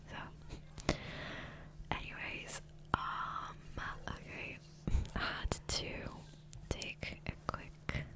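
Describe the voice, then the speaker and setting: Whispering woman, soft ASMR ramble. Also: low-quality recording. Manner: rambling, hesitant, self-interrupting. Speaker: ASMR gaming video creator. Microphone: close, sensitive USB microphone.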